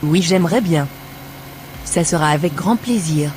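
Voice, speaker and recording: Cheerful young wife, as a man puts her on, high raised voice, sweet and affectionate, cartoon. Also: unhurried, darkish tone, slight background noise, natural voice. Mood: pleased.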